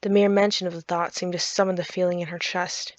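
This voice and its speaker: A woman's voice: fairly low pitch, level tone, a little fast, dry recording, muffled recording. A woman reading a visual novel aloud as a dramatic let's play narrator. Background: faint game music.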